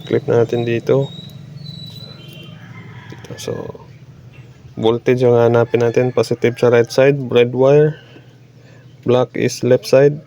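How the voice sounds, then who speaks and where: A man with a Filipino accent narrating a hands-on repair tutorial casually and haltingly as he works, mixing in English technical terms. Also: mid-range voice, level tone, dark tone. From Philippines. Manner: halting, talking while working, mixing Tagalog with English terms. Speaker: repair technician demonstrating a fix. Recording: dull lo-fi audio, faint background noise.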